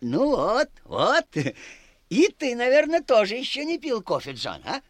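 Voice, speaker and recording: A man's voice: very high voice, huge pitch swings, a little slow, dry recording, studio quality, exaggerated voice. An actor playing a flustered, blustering, dim village policeman who stammers and repeats himself in a radio drama. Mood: wheedling, fussy. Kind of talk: 1960s Soviet radio drama acting.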